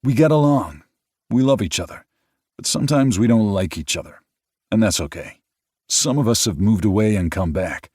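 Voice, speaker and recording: A man voicing a steady, thoughtful adult man explaining his family in an earnest, measured way. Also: fairly low pitch, husky, no echo, clean studio sound, voice pushed up.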